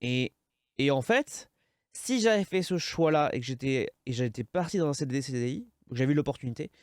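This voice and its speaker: A man's voice: medium pitch, lively intonation, fairly quick, no room sound, clean studio sound. A man in his thirties with a French accent, hosting a casual interview and rambling with many restarts. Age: 30s. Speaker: podcast host.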